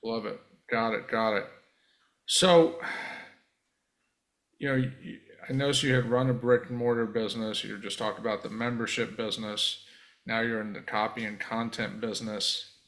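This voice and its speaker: A man's voice: on the low side, fairly even intonation, normal speed, fairly bright tone. A man hosting a podcast interview, affirming his guest and asking long, rambling questions. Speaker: podcast host. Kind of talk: interview host.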